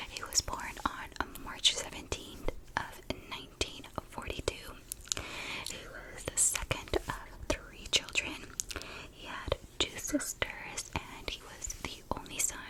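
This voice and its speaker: Whispering woman, soft ASMR storyteller. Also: rough recording. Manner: slow, deliberate, repeats words softly. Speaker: ASMR YouTuber, host.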